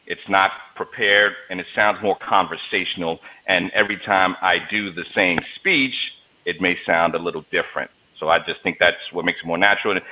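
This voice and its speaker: A man's voice: low-pitched, bright, forceful tone, slight background noise, poor audio. A man, a guest in an interview, telling stories in a joking, conversational way over a video call. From New York, USA. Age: 40s. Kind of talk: casual interview talk.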